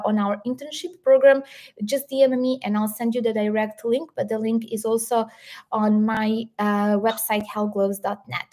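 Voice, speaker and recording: A woman's voice: fairly high pitch, a little mellow. A woman with a Serbian accent, a native Serbian speaker, hosting a live stream and talking casually with frequent fillers. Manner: many fillers, switches between languages. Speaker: host.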